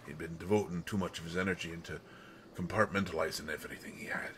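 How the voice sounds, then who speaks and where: A man playing a brutish, slow-witted but protective monster in an audio roleplay, speaking in halting phrases that swing from hushed to loud. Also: low voice, fairly even intonation, slight background noise. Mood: brooding, confiding. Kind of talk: intimate dramatic audio roleplay monologue.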